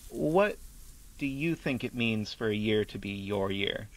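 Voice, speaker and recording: A man's voice: on the low side, expressive, measured pace, low-quality recording. An actor in a radio play as a friendly, curious man conducting a conversational interview. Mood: curious, gentle.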